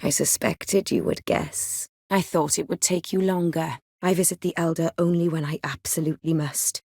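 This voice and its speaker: Poised, ancient vampire noblewoman, lowish voice. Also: fairly even intonation, moderate pace, gravelly, darkish tone, breathy edge, no echo, studio quality, full character voice. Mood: composed, polite. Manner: poised, refined, dryly condescending. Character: ancient, aristocratic female vampire, cool, worldly and secretive. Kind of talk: video game dialogue acting.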